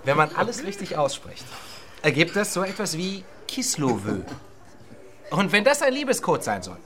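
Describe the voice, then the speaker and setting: A man's voice: sits high, wildly swooping pitch, normal speed, a crowd in the background, full character voice. A man acting in a radio drama as an eager amateur decoder, musing as he sounds out foreign words.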